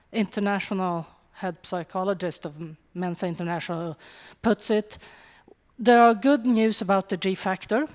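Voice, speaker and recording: A middle-aged woman from Sweden with a Swedish accent, giving a wry, conversational conference talk with quick asides. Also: mid-range voice. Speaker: conference speaker. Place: conference hall. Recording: long hall reverb.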